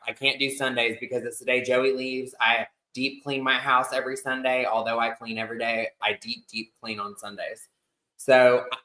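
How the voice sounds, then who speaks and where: A man's voice: medium pitch, pushed and bright, low-quality recording. A man in his thirties co-hosting a casual podcast, chatty and rambling with lots of fillers. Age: 30s. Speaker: podcast co-host. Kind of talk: casual chat.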